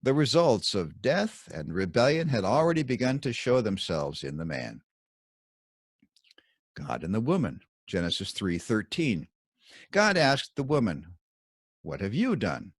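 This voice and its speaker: A man with a fairly low voice narrates scripted religious commentary in the manner of an audiobook. His pitch swings widely as he stresses key words, and his phrasing is deliberate and dramatic, with pointed pauses. The sound is close and dry. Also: very animated, dry recording. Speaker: author reading his own commentary.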